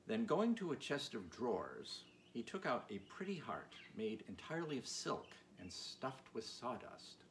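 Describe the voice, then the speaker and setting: A man's voice: medium pitch, huge pitch swings, unhurried, few pauses. A man reading a children's storybook aloud as narrator. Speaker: audiobook reader. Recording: clear background noise.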